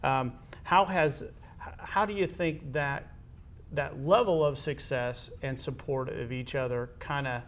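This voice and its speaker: A man co-hosting a casual sports conversation, agreeable and a little halting as he talks. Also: medium pitch, a little hiss or hum. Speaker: interviewer and co-host.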